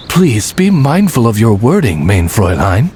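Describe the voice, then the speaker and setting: A man playing a courteous raven retainer in an anime-style game, formal, measured and deferential. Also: mid-range voice, big pitch swings, a little mellow, slight background noise. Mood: dignified. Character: courteous, deep-voiced male raven retainer.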